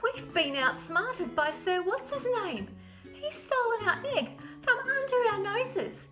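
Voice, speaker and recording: A woman voicing an indignant boss dragon in a children's storybook, loud and outraged. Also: very high voice, big pitch swings, takes it slowly, over music, voice pushed up, exaggerated voice. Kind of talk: children's storybook read-aloud. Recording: roomy echo.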